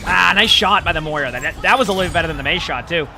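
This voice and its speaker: A man's voice: a little high, rapid-fire, gravelly. A man with a Boston accent giving casual, rambling commentary as he streams a game, often correcting himself. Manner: chatty, rambling, self-correcting. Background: game music.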